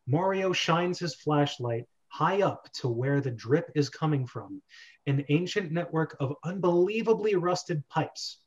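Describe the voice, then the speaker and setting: Guy hosting a script reading, slightly high voice, reads directions n chats, muffled webcam audio. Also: expressive, a little slow, husky. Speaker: host and narrator of a script read-through. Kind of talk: reading screenplay directions amid casual chat. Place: bedroom at home.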